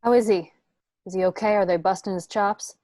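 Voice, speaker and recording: A woman's voice: medium pitch, fairly even intonation, brisk, a little mellow, dry recording, close to an everyday voice. A woman playing a nervous, falsely friendly male gangster in an amateur radio play, urgent and coaxing. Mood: anxious.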